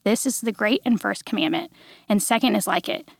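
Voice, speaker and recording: A woman's voice: medium pitch, creaky, no room sound. A woman in her thirties, a guest on a podcast, chatting cheerfully and then teaching a devotional in a studio. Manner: bubbly, quick to laugh. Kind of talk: casual chat and devotional teaching.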